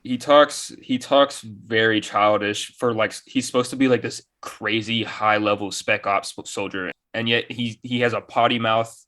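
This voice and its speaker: A man's voice: fairly low pitch, steady tone, unhurried, hardly any pauses, a bit bright. A man chatting casually as a gaming podcast co-host, enthusiastic, with plenty of slang and swearing.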